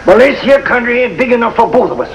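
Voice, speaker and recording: Creaky, vengeful backwoods feuder. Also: male voice, very high voice, animated, fast talker, exaggerated voice. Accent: rural Southern American. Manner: biblical, folksy bluster. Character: fierce, vengeful backwoods feuding Sawyer clansman. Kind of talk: 1950s American television drama acting. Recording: muffled, very noisy 1950s TV soundtrack.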